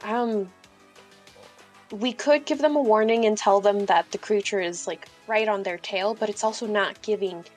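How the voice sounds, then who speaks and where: A woman's voice: medium pitch, moderate pace, faint music behind. A woman playing a tabletop game, talking through it in casual, informal, sweary chat.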